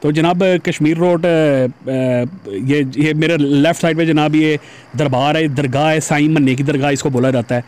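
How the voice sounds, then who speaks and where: Guy talking on a bike ride, helmet cam, traffic noise outside, kinda higher voice. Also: steady tone, moderate pace, darkish tone. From Pakistan. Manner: chatty, addresses viewers as "janab". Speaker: motovlogger. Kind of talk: casual commentary while riding. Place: outdoors on a busy city road, riding a motorbike. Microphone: helmet-mounted camera.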